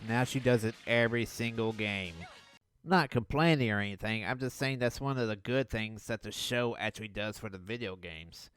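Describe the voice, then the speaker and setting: A man hosting a video, riffing on cartoon clips with mocking, deadpan sarcasm. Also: on the low side, clear, resonant tone, dry recording. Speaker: video host. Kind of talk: sarcastic riff commentary.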